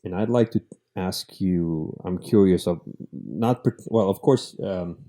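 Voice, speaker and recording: Deep, dark-voiced man hosting a chat. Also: a little slow. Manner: earnest, thinking aloud. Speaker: podcast host. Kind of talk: casual conversational interview.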